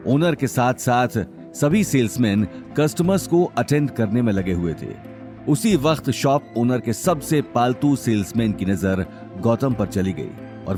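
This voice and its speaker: A man narrating a scripted audio drama in a dramatic storytelling style. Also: mid-range voice.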